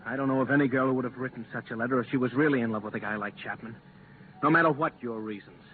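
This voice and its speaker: Man playing reluctant spaceman, mid-pitched, bitter and subdued, terse, vintage radio drama, noisy muffled recording. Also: very fast, lightly acted. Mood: troubled, reproachful. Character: guilt-ridden, reluctant astronaut, subdued and bitter. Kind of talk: 1950s radio drama acting.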